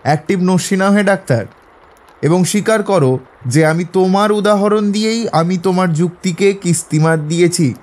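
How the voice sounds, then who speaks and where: Mellow, higher-voiced gentleman detective played by a man. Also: close to an everyday voice. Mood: amused, triumphant. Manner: measured, eloquent, lecturing. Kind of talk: Bengali audio drama acting.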